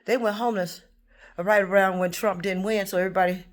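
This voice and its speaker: A woman ranting in a rambling, profane monologue, swinging between loud outbursts and low muttering. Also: steady tone, fairly quick. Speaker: vlogger.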